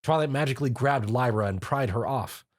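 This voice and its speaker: A man's voice: mid-range voice, brisk, no room sound, clean studio sound. A man reading scripted story narration.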